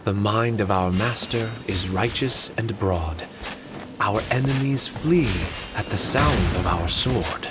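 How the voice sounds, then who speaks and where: An actor playing a calm, solemn man haunted by old memories in a video game, speaking in a measured, formal, reflective way. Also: fairly low pitch, background noise. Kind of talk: video game character dialogue. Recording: loud music over the voice.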